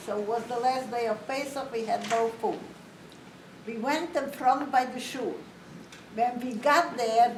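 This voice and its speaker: An older woman from Romania, giving a slow, plain, matter-of-fact spoken testimony to an audience. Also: on the high side. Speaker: Holocaust survivor speaker. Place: community hall. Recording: roomy, distant, faint hiss.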